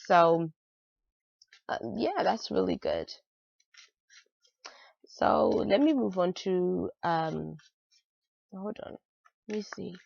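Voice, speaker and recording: A woman with a cold and a raspy voice, chatting casually through a monthly tarot reading, full of "um" and "like". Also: fairly low pitch, big pitch swings, takes it slowly, long pauses, a little mellow, dry recording, muffled recording. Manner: chatty, many fillers like "um" and "like". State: has a cold, raspy voice, clearing throat. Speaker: tarot reader.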